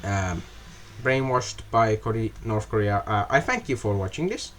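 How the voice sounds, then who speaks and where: A young man casually narrating a map video, halting often with fillers and restarting words as he goes. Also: on the low side, lively intonation, fairly bright tone, a little hiss or hum. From half Russian. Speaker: mapping video creator.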